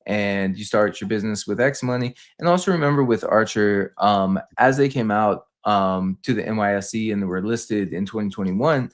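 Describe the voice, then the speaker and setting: Male finance livestream pundit, lower register, unscripted, explanatory delivery with frequent stumbles and repetition. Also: moderate pace, few pauses. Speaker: stock commentator on a livestream.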